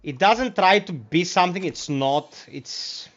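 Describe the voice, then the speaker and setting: A Greek-accented man, a native speaker of Greek, chats in a friendly, relaxed way while he streams a game. His voice sits a bit high, and the mic sounds slightly muffled. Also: a little slow. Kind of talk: casual gameplay commentary.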